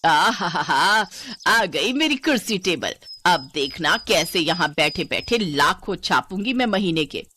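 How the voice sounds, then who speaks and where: Woman acting greedy eatery boss woman, bullying, scolding, haughty, widely swooping pitch, cartoon moral tale. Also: animated, a little slow, hardly any pauses, sharp tone, a little hiss or hum. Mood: gloating. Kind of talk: Hindi animated moral story.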